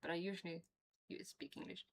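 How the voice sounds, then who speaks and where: A woman's voice: on the low side, flat delivery, a little fast, creaky, slight background noise. Rambling Norwegian woman streamer. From Norway. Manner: rambling and chatty, laughs often. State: has a toothache. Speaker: game streamer. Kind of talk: casual chat while streaming a game.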